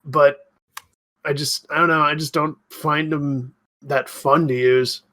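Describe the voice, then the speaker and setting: A man's voice: a little high, fairly even intonation, measured pace, pushed and bright. A man co-hosting a gaming podcast, chatting casually and informally with some swearing.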